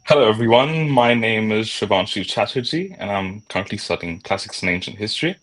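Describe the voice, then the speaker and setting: A man's voice: on the low side, animated, brisk, fairly bright tone, faint music behind, poor audio. A young man from India introducing himself as a student on a video call panel, friendly, adding tag questions.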